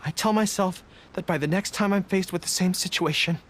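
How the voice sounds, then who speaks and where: An actor playing an earnest, dutiful young army general in an anime video game, speaking formally and with resolve. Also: male voice, high voice, brisk, slight background noise, natural voice. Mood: somber, regretful. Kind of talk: English-dubbed anime video game acting. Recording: game music behind the voice.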